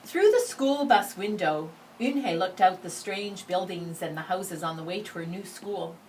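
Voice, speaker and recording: A woman's voice: on the low side, moderate pace. A woman reading a children's picture book aloud expressively, adding brief asides. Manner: expressive, acts out the dialogue. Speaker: story reader. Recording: distant microphone, background noise.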